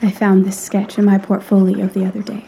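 A woman playing a candid, sensible young lady in a period audio play, gently scolding in earnest old-fashioned phrasing. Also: mid-range voice, completely flat delivery, fairly quick, vocal fry, slight background noise, lightly acted. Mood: gentle, pleading.

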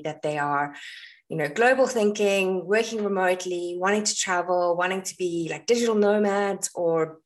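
A woman with a South African accent, hosting a solo podcast in a casual, conversational and explanatory way. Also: on the low side. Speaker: podcast host and astrologer.

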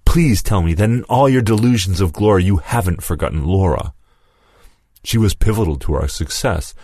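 A man voicing Lewis, an angry, vengeful former comrade who shouts accusations and whose voice cracks. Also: on the low side, husky, dry recording, studio quality, pitched above the performer's own voice. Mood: furious shouting.

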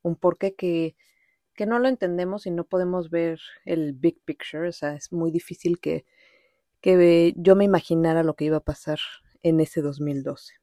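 Mexican woman, low-pitched, mellow and close. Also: moderate pace, dry recording. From Mexico City, Mexico. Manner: informal, rambling, with English words mixed in. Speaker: podcast host. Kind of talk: casual personal monologue, reflective storytelling.

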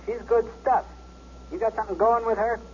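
Guy doing taunting gunman, high voice, jumpy pitch, muffled old radio play. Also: fairly even intonation, brisk, close to an everyday voice. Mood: cocky, taunting. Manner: curt, taunting. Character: cocky, sneering male hostage-taker gunman. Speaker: radio drama actor. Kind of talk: 1970s radio drama acting. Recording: muffled 1970s radio recording with hum.

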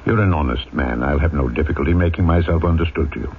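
An actor playing a compassionate, authoritative town mayor in an old-time radio drama, speaking in a dignified, earnest way. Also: male voice, rumbling low, fast talker, creaky, slight background noise. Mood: firm, protective. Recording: tinny old radio broadcast, faint music.

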